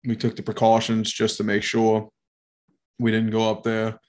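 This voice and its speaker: A man's voice: lowish voice, monotone, normal speed, darkish tone. Nasal, rambling male co-host. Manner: rambling, profane, laughing. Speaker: podcast co-host. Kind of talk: casual podcast chat.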